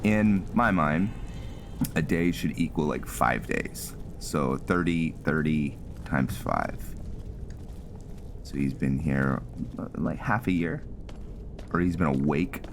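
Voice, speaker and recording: Male gaming host, very deep and leisurely, casual halting commentary, audible game sounds in the background. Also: slight background noise. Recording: clear game ambience behind voice.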